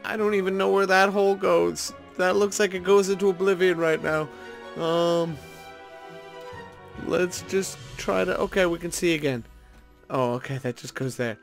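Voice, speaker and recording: A man with a fairly high-pitched voice hosts a gaming video. He talks informally and thinks aloud as he plays, in a casual commentary style. Soft music sits under his voice. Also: fairly even intonation, moderate pace, creaky. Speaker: host of a gaming video series.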